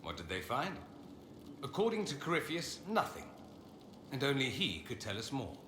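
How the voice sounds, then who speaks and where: A man's voice: medium pitch, huge pitch swings, normal speed, roomy sound, background noise. A man playing a sardonic, upper-class fantasy mage in a video game, speaking in a theatrical, condescending way. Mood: grave, expository. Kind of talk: video game dialogue acting.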